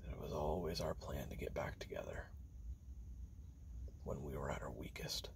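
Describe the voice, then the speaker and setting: Man voicing a very deep, whispery male assassin. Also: lively intonation, moderate pace, exaggerated voice. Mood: cold, menacing. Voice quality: breathy whispering with gravelly fry. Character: treacherous male assassin recounting his betrayal to you. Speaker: roleplay narrator. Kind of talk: second-person audio roleplay narration, close and intimate.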